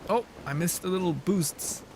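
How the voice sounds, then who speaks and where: A man's voice: sits high, moderate pace, creaky, darkish tone, a little hiss or hum, poor audio. A young man giving casual, sweary, joking live gameplay commentary and chatting with viewers. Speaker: game streamer. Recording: music playing behind voice. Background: background music.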